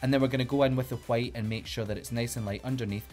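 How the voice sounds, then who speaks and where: A man's voice: mid-range voice, fairly even intonation, fast talker, a little mellow, faint music behind. A man with a Glaswegian Scottish accent, a drag queen hosting a makeup tutorial in an upbeat, playful way and giving step-by-step instructions. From Glasgow, Scotland.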